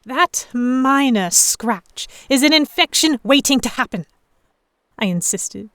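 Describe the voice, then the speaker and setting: A woman's voice: sits high, expressive, slow, a bit bright, dry recording, clean studio sound, exaggerated voice. A woman playing an earnest, emotive noblewoman heroine who narrates an audio drama in the first person. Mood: concerned, annoyed. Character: delicate young noblewoman, vexed, earnest, stubborn romantic heroine.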